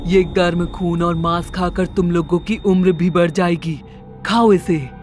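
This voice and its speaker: A woman's voice: lowish voice, steady tone, measured pace, a little mellow, faint music behind, natural voice. Woman acting a menacing, commanding witch. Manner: commanding, ominous. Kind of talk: Hindi cartoon horror story acting.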